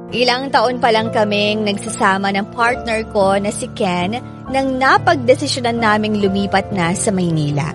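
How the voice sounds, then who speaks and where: A woman acts as a devoted young Filipino partner telling her love story for a radio drama. Her voice is fairly high, earnest and confiding, with clear music playing behind it. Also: close to an everyday voice. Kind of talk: first-person radio drama narration.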